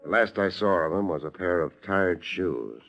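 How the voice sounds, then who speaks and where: An actor playing a wry, hard-boiled private detective with an American accent, in a dry, laconic 1950s radio noir style. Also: male voice, deep voice, animated, moderate pace, gravelly, lo-fi sound. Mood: weary, sardonic. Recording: vintage radio recording, music underneath.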